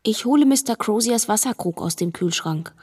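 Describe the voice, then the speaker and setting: An actress playing an observant young household helper who narrates plainly in the present tense in a radio drama. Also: female voice, mid-range voice, lively intonation, moderate pace, a little mellow, husky. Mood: matter-of-fact. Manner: watchful, matter-of-fact.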